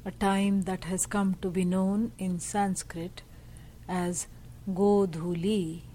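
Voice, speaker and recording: A woman leading a guided meditation as a teacher, speaking gently in short, measured phrases with pauses. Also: medium pitch, level tone, slight background noise. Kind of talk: slow guided meditation narration.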